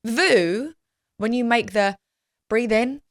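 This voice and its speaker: A young woman hosting a podcast, talking in a chatty, animated, self-deprecating way. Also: fairly high pitch, slow, dry recording. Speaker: podcast host. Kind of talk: casual chat.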